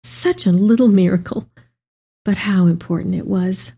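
Woman playing a tender elderly mother, mellow-voiced. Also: medium pitch, normal speed, creaky, breathy edge. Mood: grateful, loving. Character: elderly Mary, tender devout mother writing to her son. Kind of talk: dramatic reading of letters.

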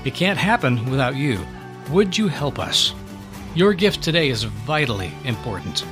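A man's voice: medium pitch, sing-song, moderate pace. A man reading a scripted appeal as an announcer, earnest and polished. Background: music.

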